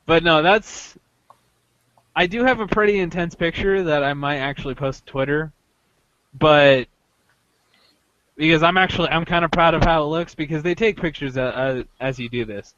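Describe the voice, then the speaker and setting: A man hosting a podcast, casually recounting an experience in a halting way, often interrupting himself as he goes. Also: on the high side, a bit bright, dull audio, a little hiss or hum. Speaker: podcast host telling a story.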